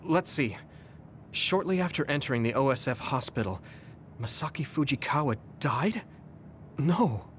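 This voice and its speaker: A man playing an earnest, caring young soldier in a video game, speaking sincerely and clearly. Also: medium pitch, animated, a little slow, a bit bright, slight background noise. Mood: apologetic, troubled. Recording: background music under dialogue. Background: game soundtrack music.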